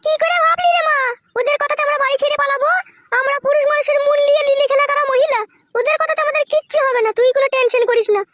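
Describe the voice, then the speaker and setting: Shrill, brazen mother-in-law. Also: fairly even intonation, racing, noisy background. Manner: shrill, abusive, theatrically threatening. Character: foul-mouthed middle-aged mother-in-law, brazen, scolding village woman. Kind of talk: comic cartoon dialogue.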